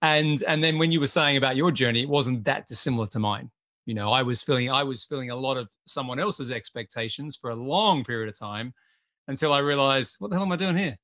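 A man with a bright, forward tone hosts a casual interview, speaking conversationally and often repeating words as he gets going. His voice sits in a middle pitch. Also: fairly quick. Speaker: podcast host.

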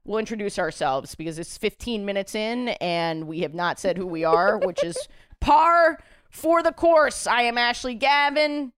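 A woman's voice: mid-range voice, expressive, a bit bright, clear, resonant tone. A woman hosting a comedy podcast, joking and bantering in casual chat. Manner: joking, self-deprecating, quick banter. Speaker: podcast host.

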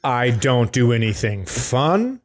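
A man's voice: medium pitch, very animated, slow. A man co-hosting a comedy podcast, riffing casually with mock quotes and comic scenarios. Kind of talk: casual joking banter.

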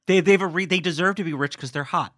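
A man hosting a podcast, teasing his guest in quick comic banter on a close mic. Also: high voice, a bit bright, dry recording. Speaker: podcast host. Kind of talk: casual comedic chat.

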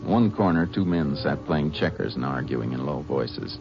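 Low-voiced, calm lawman. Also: normal speed, creaky, over music, heavily acted. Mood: matter-of-fact narration. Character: calm, methodical, kindly Texas Ranger investigator. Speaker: lead and narrator. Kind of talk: 1950s radio drama acting and narration. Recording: muffled, noisy old radio recording.

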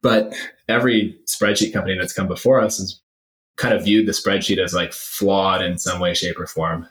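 A very low-pitched man answers interview questions in a roomy, echoey space. He is emphatic and opinionated, stacking repeated phrases into lists as he makes his case in a conversational way. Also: steady tone, normal speed, a bit bright. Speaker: startup CEO and former finance leader, interview guest.

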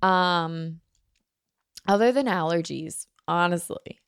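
A woman's voice: fairly low pitch, slow pace, clear, resonant tone, no room sound, studio quality. A woman hosting a casual solo podcast, chatting and rambling with lots of likes and ums, a little nasal from allergies. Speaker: podcast host.

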